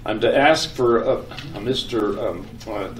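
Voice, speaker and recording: A man's voice: fairly low pitch, unhurried, close to an everyday voice. A man playing a harried husband in an old-time radio comedy sketch, stammering and repeating himself. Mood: confused, exasperated. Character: flustered, harried husband rushing to catch a taxi. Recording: echoey room, distant mic, faint noise.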